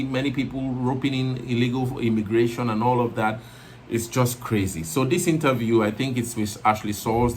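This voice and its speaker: A man's voice: mid-range voice, a little hiss or hum. A man hosting a commentary channel, talking in a rambling way with many restarts, fillers and self-corrections. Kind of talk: video commentary monologue.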